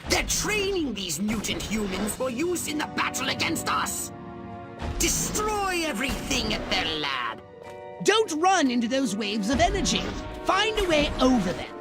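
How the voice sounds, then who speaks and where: A high, swooping voice for a scheming alien commander. He is imperious and theatrical, giving orders in a video game over loud music. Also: wildly swooping pitch, unhurried, exaggerated voice. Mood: scheming, instructive.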